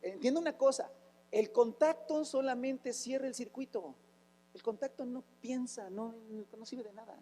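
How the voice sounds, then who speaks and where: A man's voice: very high-pitched, moderate pace, dark tone, in a large hall, slight background noise. A man, preaching a fervent sermon as a pastor, asking rhetorical questions and breaking into sudden exclamations. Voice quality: raspy, rough voice.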